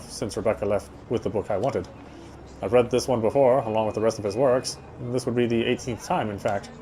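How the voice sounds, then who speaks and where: A man's voice: medium pitch, dark tone, slight background noise, poor audio. A man reading visual novel narration aloud as he plays. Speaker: let's player reading a game aloud.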